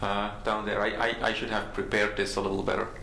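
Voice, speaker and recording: A man giving informal, halting introductions at a company event, self-deprecating and full of fillers. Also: on the low side, completely flat delivery, gravelly. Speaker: company host introducing guests. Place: large conference room. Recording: echoey room, faint noise.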